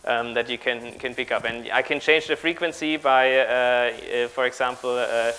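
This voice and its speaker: A man in his thirties speaking haltingly as he improvises a live technical demonstration as a conference speaker. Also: medium pitch, fairly even intonation, bright, forceful tone, rough recording. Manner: halting, improvising while working at a laptop. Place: large auditorium on stage with audience.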